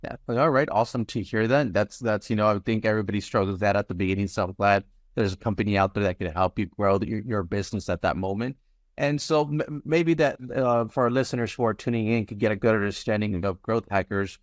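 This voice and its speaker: A man's voice: fairly low pitch, quick pace, no echo, clean studio sound. A man hosting an interview podcast in an informal, chatty way.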